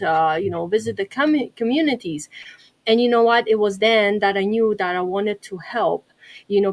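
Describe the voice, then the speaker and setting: A woman's voice: mid-range voice, rough recording. A woman from Poland, a mindset coach introducing herself earnestly on a video call, with many hesitant fillers. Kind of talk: self-introduction.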